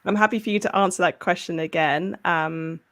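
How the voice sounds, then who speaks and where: A woman's voice: lowish voice, steady tone, a little fast, a bit bright. A woman moderating a panel casually, in an informal and encouraging manner. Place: video call.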